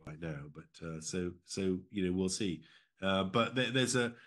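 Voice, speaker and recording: A man's voice: sits low, expressive, a little slow, fairly bright tone. A middle-aged man with a British accent giving conversational answers as an interview guest on a video call. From United Kingdom. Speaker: interview guest, former diplomat. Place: indoor room at home, video call.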